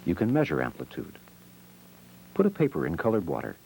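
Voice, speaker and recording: A man narrating an educational film in a measured, explanatory manner, reading scripted short, simple sentences. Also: deep voice, huge pitch swings, vocal fry, darkish tone, a little hiss or hum, poor audio. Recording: old VHS educational film soundtrack. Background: music.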